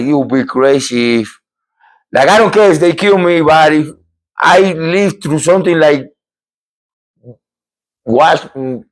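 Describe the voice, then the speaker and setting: A middle-aged man from Cuba, hosting a podcast and telling a true story in an animated, emphatic way with many repeats and restarts. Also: mid-range voice, rough recording. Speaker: podcast host. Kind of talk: storytelling a true scary encounter.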